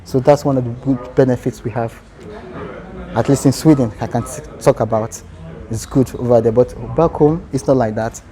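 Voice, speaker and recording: A man's voice: mid-range voice, fairly even intonation, moderate pace, dark tone. A man with a Nigerian accent answering interview questions earnestly, in short phrases that restart.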